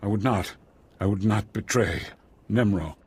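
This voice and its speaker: A halting husky alien chief. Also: male voice, lowish voice, a little mellow. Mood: pleading. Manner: halting, broken phrasing.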